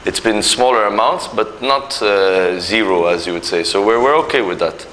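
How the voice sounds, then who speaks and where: A man's voice: lowish voice, moderate pace, rough recording. A man from Nigeria, a company executive speaking earnestly in an interview, with many fillers and restarts. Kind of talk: corporate interview talk.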